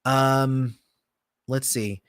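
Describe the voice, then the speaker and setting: A man hosting a casual livestream, answering viewer comments in a relaxed, rambling way with lots of fillers, often trailing off softly. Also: medium pitch, very slow, clear, resonant tone, no echo, studio quality. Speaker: channel host.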